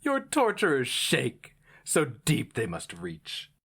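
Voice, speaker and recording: Man acting a fervent, maniacal sorcerer, wildly swinging pitch. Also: wildly swooping pitch, slow, creaky, fairly bright tone, breathy edge, heavily acted. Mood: mocking, hectoring. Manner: theatrical, impassioned, hectoring. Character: maniacal, gloating male sorcerer, fervent and scornful.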